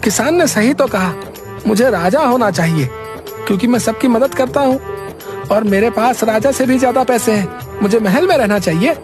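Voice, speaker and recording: A man's voice: very high voice, moderate pace, music in the background. A man voicing a vain, brash young beggar turned rich in a comedy cartoon, bossy and boastful, with a repeated verbal tic. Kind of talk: Hindi comedy cartoon story acting.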